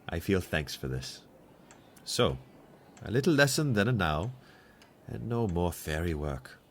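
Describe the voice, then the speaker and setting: A man playing a kindly, shrewd German professor in an audio drama, with a German accent, halting phrasing and teasing good humour. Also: sits low, very animated, a little hiss or hum, heavily acted.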